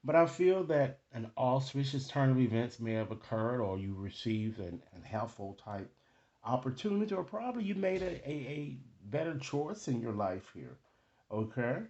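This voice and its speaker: A man hosting an improvised tarot reading, speaking to viewers in halting phrases and often checking in with short tag questions. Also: mid-range voice, big pitch swings, mellow, lo-fi sound. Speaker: tarot card reader, online host.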